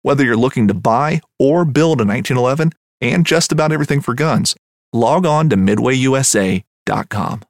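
A man reading a scripted advertisement in a measured, polished way. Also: medium pitch, animated, gravelly, dry recording, studio quality. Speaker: ad announcer. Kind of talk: scripted ad read.

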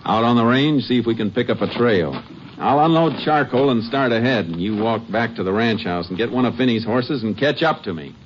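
An actor playing a calm, measured Texas Ranger who narrates his own case, in a 1950s radio drama. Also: medium pitch, noisy background, lightly acted. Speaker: narrator and lead. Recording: muffled old radio broadcast.

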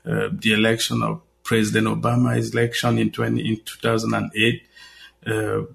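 A man's voice: lowish voice, monotone, moderate pace, creaky, fairly bright tone, slight background noise. A man from Cameroon, a guest journalist, talking in an interview with many fillers and restarts. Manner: hesitant, many fillers and repeated words. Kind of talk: conversational interview.

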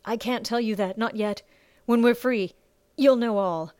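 A woman's voice: on the high side, a little slow, a little mellow, clear, resonant tone, no room sound, pitched above the performer's own voice, natural voice. A woman voicing a battered, resolute fighting woman in an audiobook, firm, commanding and protective. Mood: guarded. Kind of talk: audiobook character acting.

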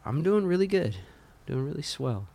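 A man chatting as a guest in an easygoing, friendly way, his voice a little hoarse from a recent cold. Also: fairly high pitch, sing-song, mellow. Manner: easygoing, friendly, quick to laugh. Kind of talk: casual chat.

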